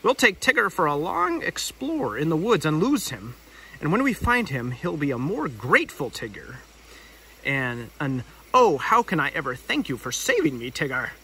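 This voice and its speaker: A man's voice: a little high, big pitch swings, normal speed, bright, forceful tone, recorded from a distance, a little hiss or hum, heavily acted, low-quality recording. A man voicing a bossy, scheming, irritable rabbit from a storybook in a pompous, declaring manner.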